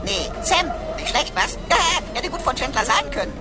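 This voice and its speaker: A man's voice: extremely high pitch, big pitch swings, moderate pace, over a noisy crowd, very noisy. A man voicing a wisecracking, mock-pompous computer companion in a radio play, theatrical and fond of puns. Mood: smug, playful. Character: cheeky, wisecracking male computer sidekick, pompously witty. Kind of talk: radio play character acting. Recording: loud music behind the voice.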